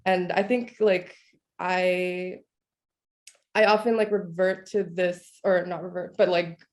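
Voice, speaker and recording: A woman's voice: lowish voice, steady tone, slow pace, darkish tone. A young woman moderating an artist talk, speaking casually and thoughtfully with frequent "like". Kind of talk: panel moderation.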